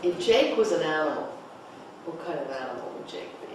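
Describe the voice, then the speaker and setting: A person leading a group discussion of a play, asking probing questions and thinking aloud in halting phrases. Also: mid-range voice, lively intonation. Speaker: discussion leader, director of a play reading. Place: echoey room, group table read. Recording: roomy, echoey, noisy distant mic.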